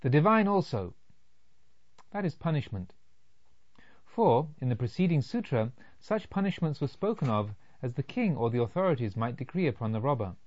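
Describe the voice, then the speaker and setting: A man narrating a literary audiobook in a measured, formal, expository way. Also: medium pitch, sing-song, darkish tone, dull audio.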